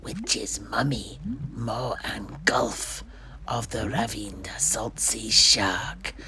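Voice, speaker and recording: A performer voicing a sinister witch chanting spells slowly and theatrically in a creaky, whispery voice. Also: mid-range voice, lively intonation, crowd noise. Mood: incantatory menace. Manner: slow, incantatory, theatrical chanting.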